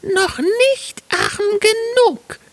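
Tiny, very shrill vengeful sparrow. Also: male voice, drawn-out words, fairly bright tone, no echo, heavily acted. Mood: taunting. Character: small vengeful male sparrow, taunting and unforgiving. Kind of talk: fairy tale character voice.

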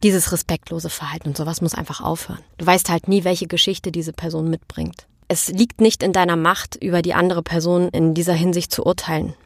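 A woman speaking as an interview guest in casual chat, telling things in an animated, slangy way. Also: fairly low pitch, brisk, few pauses, dry recording, studio quality. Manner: animated storytelling with colloquial slang.

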